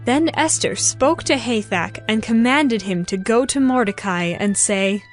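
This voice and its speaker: Female narrator for dramatized scripture, mid-range voice, solemn and measured, with music beneath. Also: a little high.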